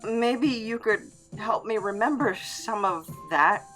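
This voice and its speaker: A woman acting a shy, hesitant, flustered character in an audio drama, speaking haltingly to an old flame. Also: fairly high pitch, lively intonation, fairly bright tone, soft background music, low-quality recording. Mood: bashful, tentative. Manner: halting, bashful.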